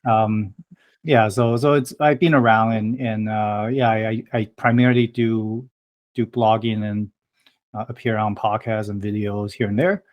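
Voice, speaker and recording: A man in his thirties, from Taiwan and raised in Canada, talks as a podcast guest. His voice sits fairly low, and his speech is hesitant and full of restarts. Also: level tone, unhurried, dull audio. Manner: hesitant, many fillers and restarts. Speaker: guest, blogger. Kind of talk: casual interview answers.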